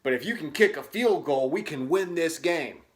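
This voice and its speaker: A man's voice: on the high side, expressive, normal speed, close to an everyday voice. A man voicing an encouraging storybook football coach with an earnest, urging manner.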